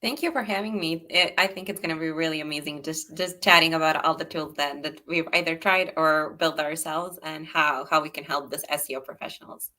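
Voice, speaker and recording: A young woman from Serbia, a startup founder, chatting in a relaxed way as a guest on a video call interview. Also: deep voice, fairly quick, few pauses. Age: young adult. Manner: relaxed, chatty, frequent "like" fillers. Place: indoor room, bright wall behind.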